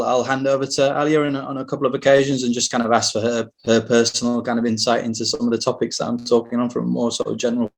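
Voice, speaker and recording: A middle-aged man, a university recruitment manager, giving a measured, explanatory webinar presentation over a video call microphone. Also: mid-range voice.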